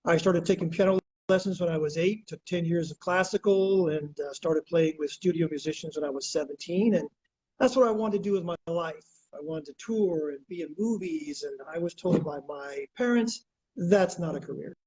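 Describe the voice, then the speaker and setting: Husky high-voiced Greek-born man in his 60s. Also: normal speed, darkish tone, poor audio. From Greece. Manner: earnest, lecturing step by step. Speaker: guest. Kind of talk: explanatory interview answers.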